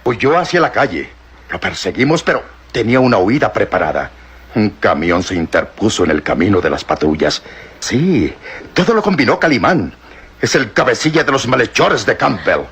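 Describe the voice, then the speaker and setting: A man's voice: on the low side, sing-song, normal speed, fairly bright tone, husky, exaggerated voice. An actor playing a stern male police inspector in an old radio drama, theatrically accusing. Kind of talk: 1960s radio drama acting.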